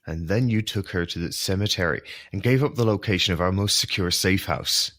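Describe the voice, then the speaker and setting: A man's voice: low-pitched, brisk, fairly bright tone. A man playing a blunt, militant member of a secret society who wants to fight, in an audio drama. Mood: hardline. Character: male hardline society fighter, aggressive and blunt.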